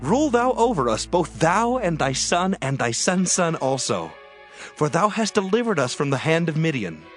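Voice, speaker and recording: A man's voice: a little high, big pitch swings, normal speed, soft background music. A man playing an earnest, grateful ancient Israelite follower in a dramatized scripture reading, declaiming his appeal. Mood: fervent appeal.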